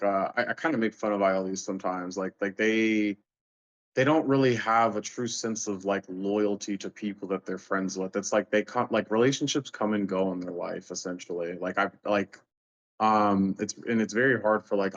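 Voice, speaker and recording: A man chatting casually in a rambling, slangy way over a dull, lo-fi voice call. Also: on the low side. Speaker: guest being personality-typed. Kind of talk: casual chat.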